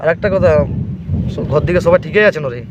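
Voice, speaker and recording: A man's voice: on the high side, expressive, brisk. A man with a Purulia Bengali accent making a prank phone call in casual chat, needling and repeating himself. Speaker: prank caller. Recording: dull lo-fi sound with clear background noise.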